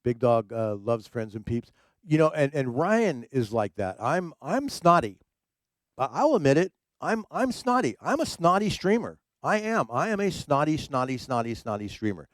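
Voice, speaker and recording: A man's voice: medium pitch, very animated, normal speed, dry recording. A man who works as a DJ, hosting a casual livestream chat from his home studio, rambling with many fillers and repeated words. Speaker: streaming DJ and show host.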